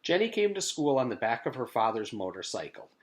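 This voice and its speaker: A man's voice: medium pitch, big pitch swings, a little fast. Male teacher reading a storybook aloud. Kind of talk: storybook narration with informal introduction.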